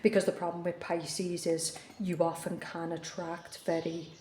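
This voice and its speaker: A woman's voice: lowish voice, level tone, measured pace, darkish tone, reverberant, slight background noise. A woman giving a casual tarot reading who is coming down with the flu and talks earnestly, repeating herself for emphasis. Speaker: tarot reader.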